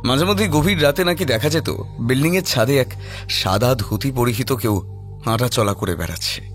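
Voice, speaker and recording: A man's voice: medium pitch, animated, moderate pace, faint music behind. A man narrating a scripted horror audio story in a storytelling style.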